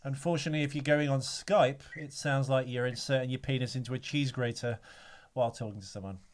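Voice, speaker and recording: A man's voice: mid-range voice, steady tone, fast. Joking, rambling male podcast host. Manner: joking, rambling, hesitant with many ums. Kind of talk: casual film-breakdown chat. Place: remote call.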